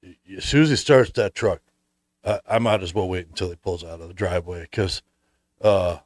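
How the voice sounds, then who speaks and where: A middle-aged man hosting a casual conversation, talking in a halting way as he thinks aloud. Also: low-pitched, a little mellow. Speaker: host.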